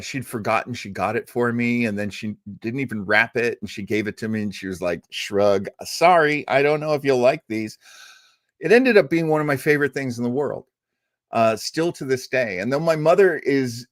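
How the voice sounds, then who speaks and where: A man's voice: mid-range voice, no room sound, clean studio sound. A man hosting an old-time radio show, chatting casually and enthusiastically with emphatic, rambling asides.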